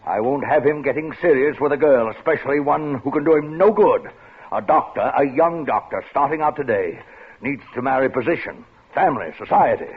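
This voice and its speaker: An actor playing a domineering older male boss with asthma, gruff and commanding in old-time radio drama style, with a raspy voice. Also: medium pitch, expressive, fairly quick. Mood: stern. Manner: gruff, commanding, wheezing coughs. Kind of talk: 1940s radio acting. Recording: tinny old radio broadcast.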